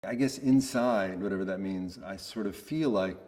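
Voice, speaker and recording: Man, low voice, monotone delivery, hesitant and understated, casual interview talk, long reverb. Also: normal speed. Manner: hesitant, searching for words, understated. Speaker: voice actor being interviewed.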